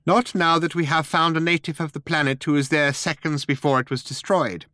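Man doing a high, acid-tongued little mouse. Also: steady tone, bright, forceful tone, dry recording, clean studio sound. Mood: brisk. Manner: acidic, brisk, interrupting. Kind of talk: audiobook character voice.

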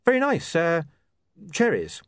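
Guy reading as a twitchy young clerk, squeaky high and all over the place. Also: wildly swooping pitch, unhurried, a bit bright, clear, resonant tone, no echo, clean studio sound, heavily acted. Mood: polite. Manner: high anxious raised voice.

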